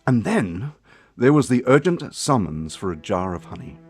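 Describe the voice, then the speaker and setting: An actor playing a loyal, earnest gentleman doctor and detective's companion, speaking formally and with measure in a film drama. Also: fairly low pitch, sing-song, normal speed, faint music behind. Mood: reflective. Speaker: actor, narrator. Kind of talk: dramatic narration and film dialogue.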